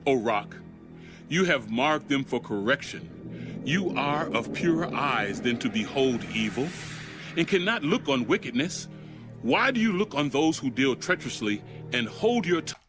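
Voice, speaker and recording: An actor playing an anguished Hebrew prophet who pleads solemnly with God in a dramatized audio Bible. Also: male voice, medium pitch, very animated, a little slow. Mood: reverent questioning. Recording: music bed under the voice.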